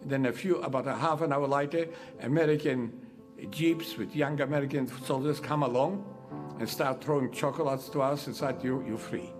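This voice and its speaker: Elderly survivor, Polish-born man, halting testimony, guitar bed behind. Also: mid-range voice, fairly even intonation. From Poland. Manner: halting, restarts phrases as he recalls. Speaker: Holocaust survivor giving testimony. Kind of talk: recorded testimony interview. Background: soft guitar music.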